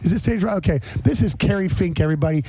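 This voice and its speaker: A man's voice: sits high, very fast, mellow, slightly rough, no room sound. A man hosting a casual radio interview on location, chatty and emphatic, speaking straight to his listeners. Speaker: podcast host. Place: on location at a garage restaurant.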